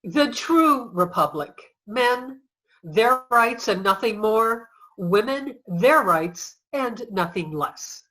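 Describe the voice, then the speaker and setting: A woman's voice: a little high, lively intonation, takes it slowly, sharp tone, exaggerated voice, rough recording. A woman playing a proud nineteenth-century suffragist, formal and declarative in a staged reading. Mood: proud, determined. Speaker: reader in a reader's theater.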